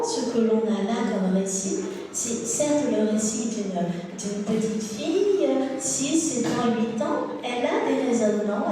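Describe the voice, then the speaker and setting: A woman novelist at a book fair panel, reading and then discussing her novel in an expressive, lively way. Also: on the high side, distant mic, a little hiss or hum. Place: large hall at a book fair. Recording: echoey hall, mic from afar.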